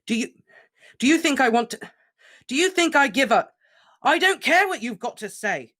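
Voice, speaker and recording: A reader voicing a grieving teenage boy wizard, shouting and shaking with rage. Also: mid-range voice, lively intonation, moderate pace, voice pushed up, lightly acted. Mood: raging. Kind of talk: audiobook character reading.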